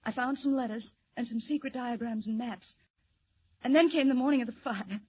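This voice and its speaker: A woman's voice: a little high, normal speed, breathy edge. An actress playing a frightened but spirited young secretary in a 1940s radio drama, telling her story urgently. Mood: anxious. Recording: dull old radio transcription.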